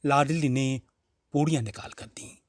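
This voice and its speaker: A man narrating a literary story in a measured, expressive, dramatic reading style. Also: medium pitch, dry recording, studio quality.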